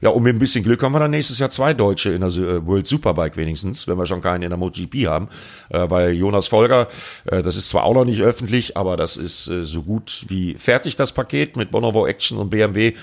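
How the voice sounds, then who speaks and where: A man with a fairly low voice co-hosts a motorsport podcast, explaining motorcycle racing news in an informed, steady way. His delivery is casual and conversational. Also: fast, gravelly. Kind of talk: casual chat.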